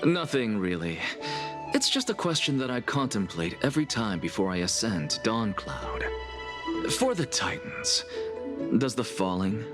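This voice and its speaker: A low-voiced, aloof male scholar, cool and disdainful, his pitch rising and falling expressively. He is measured and scornful, heard over game music. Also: big pitch swings. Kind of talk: studio game voice acting. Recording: game cutscene with music behind.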